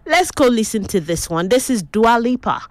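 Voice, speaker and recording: A woman's voice: fairly high pitch, sing-song, normal speed, dry recording. Nigerian female radio host, chatty, asks the audience questions; dry studio sound. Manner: conversational, asks listeners questions. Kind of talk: radio talk show hosting.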